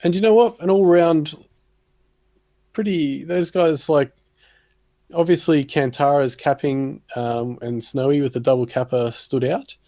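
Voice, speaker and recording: A man's voice: a little high, unhurried, dark tone, no echo. A man giving a casual, upbeat recap of a team. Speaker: co-commentator.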